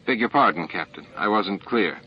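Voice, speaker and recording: A man's voice: fairly low pitch, animated, normal speed, slight background noise, natural voice. Practical, plain-spoken ship's engineer done by a male reader, lo-fi muffled recording. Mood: baffled, impressed. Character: practical male chief engineer, frank and admiring. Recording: muffled, band-limited tape audio.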